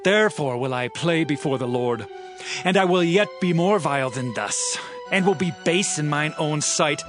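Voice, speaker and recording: King David speaks in a somewhat high, earnest voice, as a man acts him out. His lines come as fervent, humble declarations to his God. Faint music plays behind him in this audio drama. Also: animated, normal speed, close to an everyday voice. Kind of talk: dramatized scripture reading.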